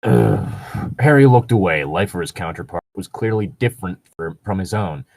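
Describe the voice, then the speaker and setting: Man, husky, highly varied intonation, close dry sound, casual banter, profane, irreverent. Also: big pitch swings, normal speed, darkish tone, no room sound, rough recording. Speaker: co-host reading fan fiction aloud. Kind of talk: casual banter and mock dramatic reading.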